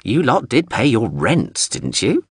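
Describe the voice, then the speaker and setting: A man voicing a fussy, indignant small robot drone in a shrill, screechy voice for an audiobook story. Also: wildly swooping pitch, unhurried, breathy edge, no room sound, clean studio sound, heavily acted. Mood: huffy grumbling.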